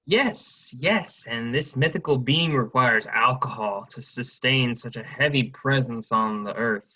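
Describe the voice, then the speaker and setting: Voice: mid-range voice, unhurried, pushed and bright, breathy edge, muffled recording. An actor playing a cheeky, crude drinking buddy who teases sardonically in a comic play reading. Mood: sardonic. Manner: sardonic, teasing.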